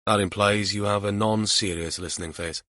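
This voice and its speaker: A man voicing a dry, long-suffering senior male detective in a deadpan manner for an audiobook. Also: lowish voice, moderate pace, dry recording.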